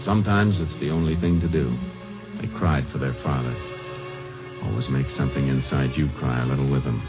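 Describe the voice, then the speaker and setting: A steady Texas Ranger in an old radio crime drama. His voice is exceptionally deep, laconic and measured, whether questioning or narrating. The recording is dull and aged, with clear music in it. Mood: somber narration.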